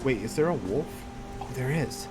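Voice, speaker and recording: A man's voice: medium pitch, expressive, moderate pace, rough recording. A man giving casual, improvised video game commentary, rambling in a laid-back way and chatting to the game's characters. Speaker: gaming YouTuber, host of a roleplay let's play. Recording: game music and rain behind voice. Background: loud game music, rain and thunder.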